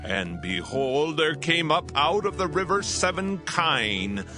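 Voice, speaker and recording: A man playing a troubled Egyptian king in a dramatized scripture reading, solemn, deliberate and theatrical. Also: on the high side, big pitch swings. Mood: troubled, wondering. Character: troubled Egyptian king recounting a strange dream. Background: soothing background music.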